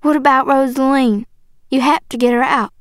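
Woman voicing a defiant, high-pitched Southern girl. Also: fairly even intonation, normal speed, no room sound, clean studio sound, natural voice. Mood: pleading. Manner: emotional, stubborn, pleading. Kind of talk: audiobook character acting.

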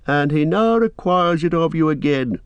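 A man's voice: fairly high pitch, expressive, moderate pace, smooth, no room sound, dull audio, voice pushed up, natural voice. A man voicing an aged warrior king, proud and resolute, declaiming in stern, formal, archaic speech for a storybook. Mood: commanding.

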